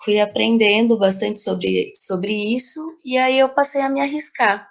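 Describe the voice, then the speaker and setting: A woman's voice: a little high, fairly even intonation, measured pace, creaky. A woman with a Brazilian accent speaking reflectively and conversationally as a playwright being interviewed. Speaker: playwright guest.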